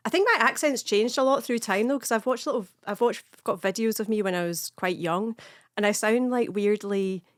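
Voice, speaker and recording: A woman's voice: mid-range voice, fairly quick, no room sound, clean studio sound. Scottish woman with a Glasgow accent. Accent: Glasgow West End. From Scotland. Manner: chatty, laughing, storytelling. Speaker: comedian guest. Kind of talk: casual chat.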